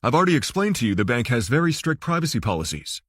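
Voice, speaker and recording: Man playing a guarded banker, husky voice. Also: medium pitch, sing-song, fast, fairly bright tone, dry recording, studio quality. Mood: guarded, firm. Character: guarded, formal male banker, politely firm.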